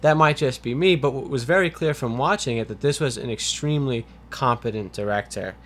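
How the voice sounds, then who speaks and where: A man co-hosting a review show, giving conversational criticism in a measured, analytical, wordy manner, with noise behind him. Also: medium pitch, a bit bright. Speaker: film review show co-host. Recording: clear background noise.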